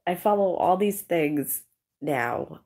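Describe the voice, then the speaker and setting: A woman with a low, deep-set voice and a Worcester, Massachusetts accent, rambling through a casual live-stream chat. She talks bluntly and swears freely. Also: animated, slow, a little mellow, husky. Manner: blunt, profane and chatty. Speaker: YouTuber hosting a live stream.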